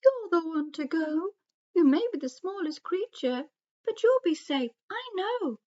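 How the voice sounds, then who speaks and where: A woman's voice: very high voice, expressive, unhurried, mellow, dull audio, pitched above the performer's own voice. A woman voicing a wise old male owl in a children's storybook, reassuring and earnest, with a singsong rhyming delivery. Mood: encouraging.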